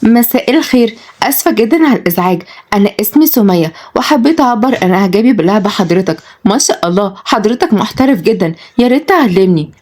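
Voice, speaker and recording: A woman's voice: a little high, big pitch swings, fast talker, a little mellow, pitched above the performer's own voice. A woman voicing a gushing, flirtatious Egyptian lady in her fifties, coquettish and familiar, in a story. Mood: admiring.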